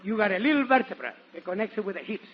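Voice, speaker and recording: A man's voice: high voice, very animated, racing, husky. A man giving a theatrical, playful comic monologue as a guest on a 1940s radio show. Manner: theatrical, playful, breaking into sung syllables and shouts. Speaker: guest comedian. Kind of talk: comic musical monologue to a live audience. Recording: noisy, muffled 1940s radio broadcast.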